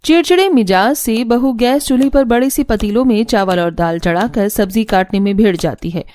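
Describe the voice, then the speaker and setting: A woman's voice: fairly high pitch, moderate pace, darkish tone. A woman reading scripted narration for a cartoon family story.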